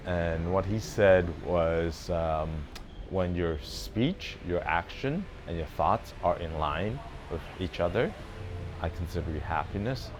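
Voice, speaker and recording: A man's voice: deep voice, slow, noisy background. A sixty-year-old man talking reflectively and hesitantly in an interview.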